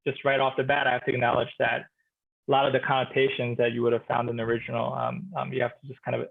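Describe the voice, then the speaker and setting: A man speaking as a literature professor in a relaxed expert discussion, thoughtful and hesitant, with many restarts and filler sounds. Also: mid-range voice, fast, vocal fry, slightly rough, phone-quality sound.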